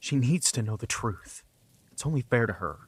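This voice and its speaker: A man playing a bitter, jilted lover defying his employer in an audio drama, husky and heated in his accusing delivery. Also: medium pitch, lively intonation, moderate pace, no room sound. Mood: defiant. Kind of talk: dramatic audio fiction acting.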